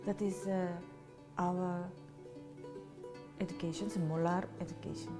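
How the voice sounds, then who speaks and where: A woman from Japan, a native Japanese speaker and language teacher, answering interview questions in halting, simple phrasing with a Japanese accent over guitar music. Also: fairly low pitch, fairly even intonation.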